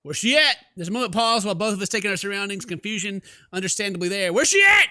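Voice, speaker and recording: A man hosts a gaming video in a bright, high voice that swings widely. He is playful and theatrical, mixing exclamations with read-aloud game text. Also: big pitch swings, fast talker, no room sound, studio quality. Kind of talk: animated let's play commentary, reading game text aloud. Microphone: close microphone at a desk. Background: faint game music.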